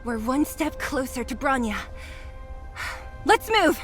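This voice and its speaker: A woman's voice: sits high, very animated, slow pace, soft background music, heavily acted. An actress voicing a tough, determined young woman fighter in a video game, feisty and plain-spoken. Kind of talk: anime-style video game voice acting.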